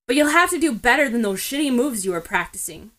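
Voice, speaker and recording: Female reader as gruff teen boy, short-fused and dismissive, for narrated fan fiction. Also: a little high, big pitch swings, fast talker, fairly bright tone. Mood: impatient, dismissive. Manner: curt, growling, annoyed. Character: gruff, impatient, scornful teenage boy sparring partner.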